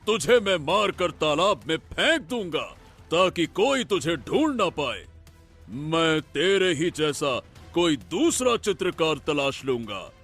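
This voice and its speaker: A man's voice: a little high, very animated, slow, a bit bright, slightly rough, faint music behind, heavily acted. A man playing a menacing, murderous king disguised as a prince in a dramatic cartoon story. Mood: threatening.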